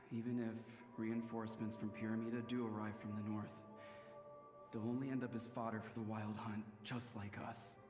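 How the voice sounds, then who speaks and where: A man's voice: on the low side, moderate pace, music in the background, close to an everyday voice. Man playing a doomed soldier in echoing reverb. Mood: grim, resigned. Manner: flat, fatalistic. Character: doomed, resigned male soldier, grim and weary. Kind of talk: video game character acting. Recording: echoey hall reverb.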